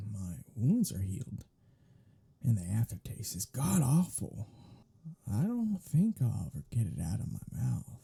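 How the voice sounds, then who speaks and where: A man playing a sardonic, teasing vampire in an intimate ASMR roleplay, with a husky, breathy voice and a sarcastic, mocking drawl. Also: mid-range voice, mellow, exaggerated voice. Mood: wry, complaining. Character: sardonic, teasing male vampire, grumbling and irreverent.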